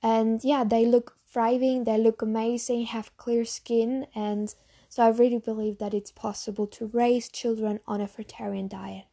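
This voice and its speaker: A woman's voice: fairly high pitch, little pitch movement, moderate pace, creaky, mellow. A young woman from Germany with a German accent, talking frankly and earnestly to camera as a vlogger, with frequent fillers. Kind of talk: casual talk to camera answering questions.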